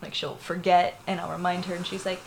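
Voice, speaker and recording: A woman's voice: on the low side, monotone, brisk, vocal fry, a little hiss or hum, poor audio. A young woman from Florida with a Puerto Rican family, telling a personal story in a candid, rambling way, full of fillers and laughs. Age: young adult. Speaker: interviewee.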